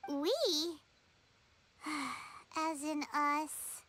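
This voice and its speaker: A woman's voice: high-pitched, little pitch movement, drawn-out words, fairly bright tone, faint music behind, exaggerated voice. A woman voicing a tiny, childlike, indignant fairy companion in an anime video game, whiny and blunt. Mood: exasperated. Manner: refers to herself by name, whiny, blunt.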